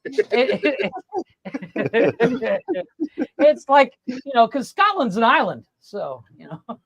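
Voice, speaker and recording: A woman's voice: a little high, very animated, slow pace, husky, no room sound. A woman on a casual live-stream podcast, making wry, teasing remarks. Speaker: podcast panelist.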